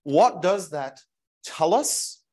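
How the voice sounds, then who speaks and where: A man with a loud, carrying voice, giving a wry, conversational conference talk from a lectern in a lecture hall. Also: high-pitched, expressive, very slow. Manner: wry, self-deprecating, conversational with many fillers. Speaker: invited academic speaker. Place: wood-panelled university lecture hall. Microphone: lectern microphone.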